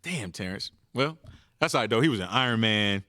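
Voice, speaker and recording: A man's voice: lowish voice, animated, fairly quick, sharp tone, no echo. A man co-hosting a casual podcast, joking and playful in the banter. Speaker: podcast co-host. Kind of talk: casual chat.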